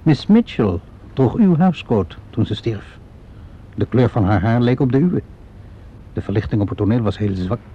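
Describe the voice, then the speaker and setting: An actor playing a calm, probing police inspector in a Dutch radio play, questioning politely and methodically. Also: male voice, medium pitch, normal speed, husky. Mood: measured, persistent. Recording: dull, lo-fi old radio recording.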